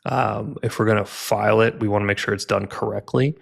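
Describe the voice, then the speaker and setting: Podcast guest, male: low gravelly voice, even delivery, patient legal explainer. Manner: measured, methodical, legal explanations. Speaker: guest from a gun rights legal group. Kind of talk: explaining litigation in a podcast interview.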